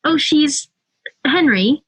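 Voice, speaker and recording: A woman's voice: high voice, expressive, slow, a bit bright, full character voice. A woman playing an anxious, hesitant housewife and mother in an amateur audio drama. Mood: nervous, evasive.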